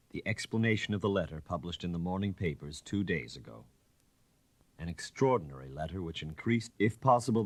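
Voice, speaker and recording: A man narrating an audiobook in a measured, formal and theatrical storytelling manner. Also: sits low, dry recording. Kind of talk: dramatic scripted storytelling.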